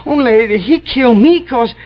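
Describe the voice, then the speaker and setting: Wary youth, muffled old radio. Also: male voice, very high voice. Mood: fearful, confiding. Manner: guarded, halting, rustic ungrammatical speech. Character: wary, defiant, frightened teenage boy on the run. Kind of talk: old-time radio drama acting. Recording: dull vintage radio recording.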